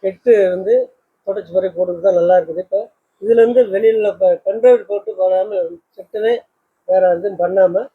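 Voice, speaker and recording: A man with a husky voice, answering casually as a guest in plain words and repeated affirmations. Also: high-pitched, steady tone, a little fast. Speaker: customer and guest. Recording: dull lo-fi sound.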